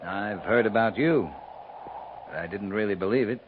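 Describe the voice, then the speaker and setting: Cavalry captain played by a male actor: American, plain-spoken, wary, slightly deep, noisy old radio sound. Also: moderate pace, lightly acted. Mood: wary, probing. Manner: terse, guarded. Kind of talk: 1950s radio drama acting. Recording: tinny, noisy 1950s radio recording.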